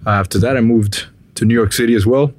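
A man from Puerto Rico in his thirties, a musician, introducing himself in a relaxed way. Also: fairly low pitch, fast, no echo. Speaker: musician, guest.

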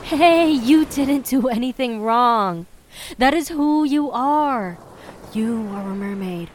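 A woman playing a calm, assured mermaid confidante in an audio drama, soothing and persuasive. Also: fairly high pitch, takes it slowly, slight background noise. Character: calm, consoling female mermaid confidante, unashamed of killing.

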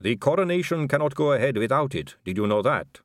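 Smooth aristocratic vampire lady done by a male narrator, audiobook, measured and superior, raised pitch, swoopy. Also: very animated, no echo, clean studio sound, natural voice. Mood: smooth, diplomatic. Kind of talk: audiobook character dialogue.

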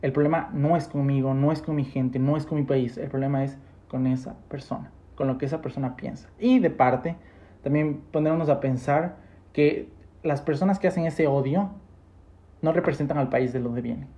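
A man's voice: mid-range voice, fairly even intonation, normal speed, warm tone. A young man hosting a podcast who talks emphatically and addresses his listeners directly. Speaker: podcast host. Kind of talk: podcast talk and commentary.

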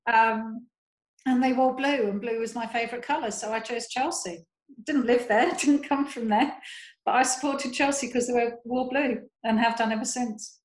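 A woman's voice: fairly high pitch, steady tone, normal speed, reverberant, poor audio. A woman fan telling chatty, amused anecdotes in casual conversation. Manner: chatty, amused, laughing between stories. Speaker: fan guest.

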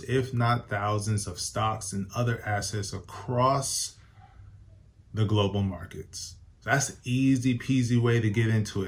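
Lower-pitched upbeat man giving money advice. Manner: upbeat, emphatic, repeats and corrects himself. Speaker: personal finance show host. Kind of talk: casual advice talk to camera.

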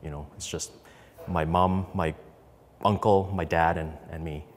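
A man, telling a personal story in an interview with conversational, halting phrasing that often repeats words. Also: low voice, steady tone, in a large hall. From Canada, raised in Calgary from infancy. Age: 30s. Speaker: podcast guest, business co-founder.

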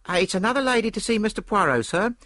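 A man's voice: high voice, expressive, fast, pushed and bright, no echo, pitched above the performer's own voice, exaggerated voice. A man voicing a chatty, deferential landlady. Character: polite working-class London landlady, chatty older female.

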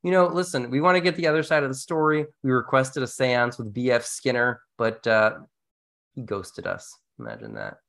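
A man's voice: mid-range voice, animated, moderate pace, creaky, fairly bright tone. A man talking conversationally as co-host of an educational podcast, explaining ideas casually with a wry touch. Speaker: podcast co-host, instructional designer.

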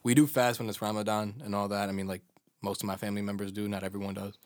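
A man's voice: on the low side, little pitch movement, quick pace, a little mellow, no room sound, studio quality. A man from Chicago with an American accent, chatting casually and joking, with lots of "like" as filler. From Chicago, USA. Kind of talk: casual chat.

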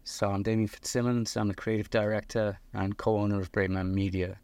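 A man's voice: on the low side, flat delivery, brisk, a little mellow, smooth, no room sound. A middle-aged man from the north of England with a Liverpool accent, a podcast guest telling his story casually with fillers and restarts. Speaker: guest, creative director of a video company.

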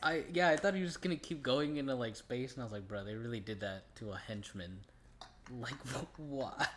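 A man's voice: mid-range voice, brisk, a bit bright, a little hiss or hum. A young man co-hosting a podcast, chatting about a movie in an easygoing, slangy way. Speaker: podcast co-host. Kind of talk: casual movie chat.

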